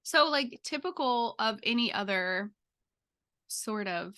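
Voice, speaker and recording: A woman's voice: on the high side, animated, takes it slowly, sharp tone. A woman chatting casually as a podcast co-host, informal and peppering her sentences with "like". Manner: informal, heavy use of "like". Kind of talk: casual chat.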